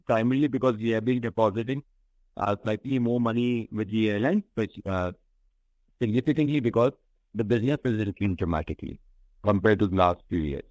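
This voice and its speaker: A man with an Indian accent and a fairly low voice speaks as a company executive on a call. He explains things in a measured, hesitant manner. The sound is dry, with very little room. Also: dry recording, highs cut off. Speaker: company executive answering questions on an earnings call. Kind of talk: business conference call, unscripted answers. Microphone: conference call line, close and dry.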